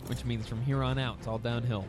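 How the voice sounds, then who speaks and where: A man's voice: mid-range voice, little pitch movement, a little fast, a little mellow, faint music behind. A young man casually commentating a survival game, rambling to his in-game pets and his audience. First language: English. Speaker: gaming YouTuber. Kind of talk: casual let's-play commentary.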